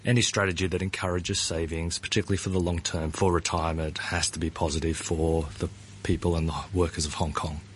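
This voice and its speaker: A man's voice: low voice, little pitch movement, a little fast, slight background noise. A man being interviewed as a guest about pensions. He hedges, often starts with "look" and repeats his words. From Australia. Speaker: pension ratings firm founder.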